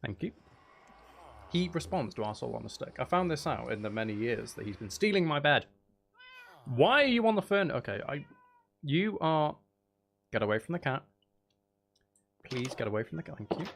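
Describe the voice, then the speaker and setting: A man's voice: medium pitch, big pitch swings, normal speed, creaky, long pauses, a bit bright, slight background noise. A man chatting casually as he hosts a gaming livestream, with a playful, silly manner and a habit of repeating phrases. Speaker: livestream host playing a video game.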